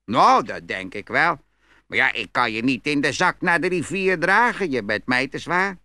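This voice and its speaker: A small, poor but crafty young peasant in a storybook play. The voice is fairly high and bright, recorded dry, and glibly tells tall tales as if perfectly innocent. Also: male voice, lively intonation, normal speed, dry recording. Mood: sly, breezy. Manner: glib, storytelling, feigned innocence. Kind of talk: fairy-tale audio play acting.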